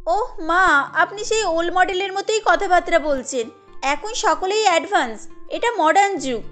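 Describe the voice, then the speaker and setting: A woman voicing a breezy, defiant, modern young daughter-in-law in an animated family story, pert and argumentative. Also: extremely high pitch, normal speed, faint music behind.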